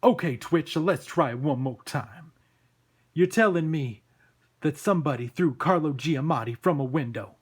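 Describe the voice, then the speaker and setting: A man voicing a loud, exasperated, wisecracking New York police detective from a comic book, with punchy, blustering delivery. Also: fairly high pitch, expressive, moderate pace, husky. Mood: incredulous.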